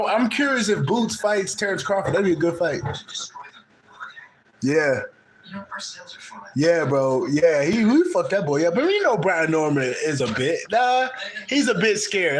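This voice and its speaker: A man's voice: high-pitched, roomy sound, slight background noise, rough recording. A man with a Midwestern American accent chatting loosely with a caller, laughing often and talking in heavy slang with lots of swearing. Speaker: host of a spiritual channel. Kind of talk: casual chat with a live caller.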